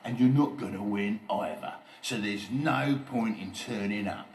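A man voicing a boastful, sneering bully of a lorry who gloats slowly in a children's story. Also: medium pitch, big pitch swings, reverberant, far from the mic, a little hiss or hum, pitched above the performer's own voice.

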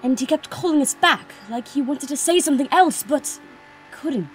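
A woman's voice: sits high, lively intonation, normal speed, soft background music. A woman playing an earnest, insistent young princess in a fan audio drama. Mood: worried.

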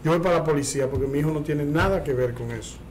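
A man's voice: a little high, racing, darkish tone, slight background noise. A man on a studio talk panel, arguing insistently against another panelist. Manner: insistent, argumentative. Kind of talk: studio debate.

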